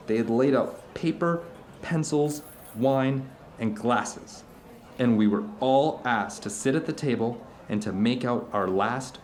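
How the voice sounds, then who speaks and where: Give the role of a fervent middle-aged man to a male actor in an audio drama. He has a medium-pitched voice and rambles earnestly through his experiences, like someone confiding in an old friend over dinner. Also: natural voice.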